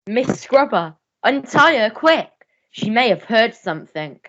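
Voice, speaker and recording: A woman's voice: a little high, big pitch swings, a little slow, lo-fi sound. A woman playing a blustering older major and father in a radio melodrama, grandiose and declamatory. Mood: brisk, commanding. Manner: grandiose, declamatory, repeating words for effect. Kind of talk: melodramatic radio play acting.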